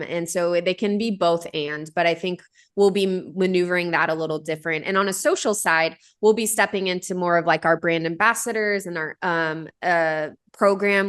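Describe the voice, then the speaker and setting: A woman's voice: fairly low pitch, fairly bright tone. A woman in her thirties, a company founder answering interview questions in an earnest, conversational way, with many fillers and restarts. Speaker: podcast guest, company founder.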